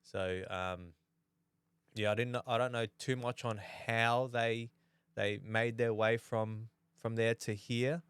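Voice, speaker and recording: A man from New Zealand, in his thirties, chatting on a podcast in an easygoing, halting way with lots of restarts. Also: medium pitch, steady tone, a bit bright, smooth, no echo. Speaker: podcast co-host. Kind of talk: casual chat.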